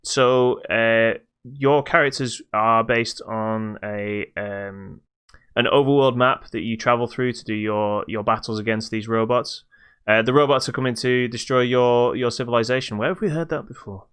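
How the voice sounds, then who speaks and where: A man's voice: medium pitch, fairly even intonation, normal speed, fairly bright tone, clear, resonant tone. A British man hosting a podcast, casually reviewing a video game in a hesitant, stop-start way.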